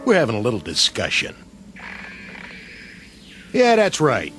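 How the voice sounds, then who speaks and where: An actor playing a weary, wisecracking army sergeant in a radio drama, talking in gruff, sarcastic slang. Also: male voice, mid-range voice, wildly swooping pitch, measured pace, a bit bright, soft background music. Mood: angry sarcasm.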